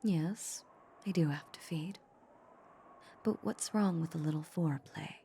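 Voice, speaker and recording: A woman playing a seductive, possessive female vampire in an intimate roleplay audio drama, teasing and condescending in a breathy half-whisper. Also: sits low, a little hiss or hum. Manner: slow, teasing, condescending purr. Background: soft ambient sound effects.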